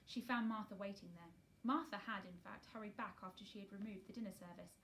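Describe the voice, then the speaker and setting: A woman narrating a children's novel aloud in an expressive, scripted storytelling style. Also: mid-range voice, brisk, slight background noise. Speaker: storybook reader.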